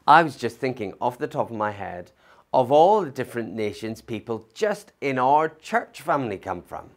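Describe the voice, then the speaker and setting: A man pastor giving a conversational Christmas sermon, thoughtful, with pauses and fillers. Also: mid-range voice. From not from Liverpool.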